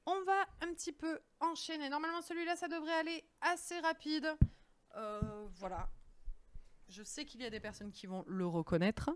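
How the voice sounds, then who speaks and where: A young woman co-hosting a live-stream music quiz, playful and teasing, often pausing on fillers as she gives clues. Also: high-pitched, background noise. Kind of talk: casual live-stream chat.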